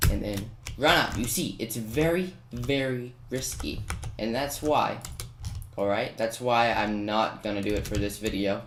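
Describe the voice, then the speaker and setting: A man giving casual tutorial commentary on a video game, hedging and correcting himself as he goes. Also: lowish voice. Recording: roomy echo, faint noise with key clicks. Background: computer keyboard typing and clicks.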